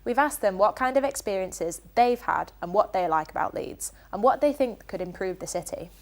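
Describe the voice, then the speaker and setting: Young female student presenter, mid-pitched, chatty. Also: animated, normal speed. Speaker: studio presenter. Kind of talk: live studio presenting.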